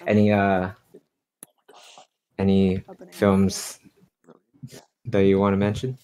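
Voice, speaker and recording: A man's voice: sits low, monotone, slow, mellow, low-quality recording. A man hosting a casual art livestream, asking guests friendly questions. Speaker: host.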